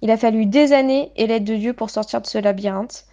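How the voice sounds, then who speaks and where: A woman's voice: a little high, brisk, dark tone. A woman in her late twenties giving articulate, literary, self-deprecating personal testimony as a guest in a radio interview.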